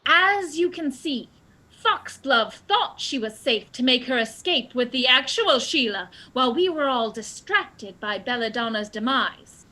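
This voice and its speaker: A woman's voice: high voice, lively intonation, unhurried, sharp tone, exaggerated voice, low-quality recording. A woman playing a melodramatic detective in a comedic radio play, declaiming her deductions theatrically. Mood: grandly explaining. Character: melodramatic woman detective, triumphant and dutiful daughter.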